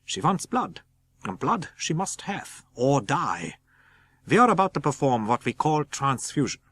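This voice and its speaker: A man voicing a grave, kindly foreign professor, speaking with deliberate emphasis and quaint non-native phrasing in an audiobook. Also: a little high, sing-song, fairly bright tone, heavily acted. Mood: grave, urgent.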